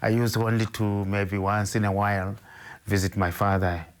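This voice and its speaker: Deep-voiced older Zimbabwean man, measured. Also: flat delivery. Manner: measured, hesitant, with frequent restarts. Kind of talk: interview, recounting his life.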